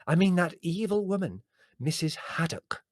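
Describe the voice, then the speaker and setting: A man's voice: a little high, big pitch swings, normal speed, no echo, clean studio sound, voice pushed up. A man voicing a coy, fussy, earnest woman cousin in a storybook, emphatic and confiding. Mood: confiding, ominous. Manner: gushing, confiding, emphatic, easily exasperated.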